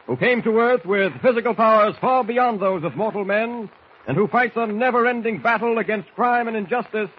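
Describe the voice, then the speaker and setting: A man's voice: high-pitched, normal speed, breathy edge. A man narrating a 1940s radio serial as announcer, declamatory and full of suspense. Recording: muffled, hissy vintage radio recording.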